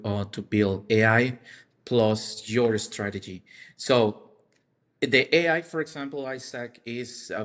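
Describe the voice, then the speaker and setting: A man speaking as a podcast guest, explaining his points haltingly and repeating words as he searches for phrasing. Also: lowish voice, a bit bright. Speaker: podcast guest, marketing expert. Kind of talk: explanatory discussion.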